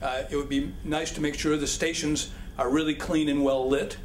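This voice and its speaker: A man's voice: fairly high pitch, level tone. Hesitant man in an echoey hall. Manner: halting, many restarts and fillers. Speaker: policy speaker answering audience questions. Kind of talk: public Q&A talk. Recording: echoey hall with background noise.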